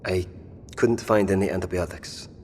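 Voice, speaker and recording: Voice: sits low, fairly even intonation, moderate pace, a little mellow, lightly acted. An actor playing a caring, firm companion in an audio drama, speaking with patient insistence. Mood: apologetic. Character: caring, firm companion nursing a wounded partner. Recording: long reverberant hall, faint noise.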